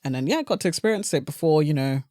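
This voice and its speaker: A young woman with a British accent and a low-pitched voice chats as a guest on a podcast. She talks informally and with animation, as if telling stories to a friend. The sound is dry, with hardly any room in it. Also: expressive, fast talker, warm tone, no echo. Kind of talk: casual chat.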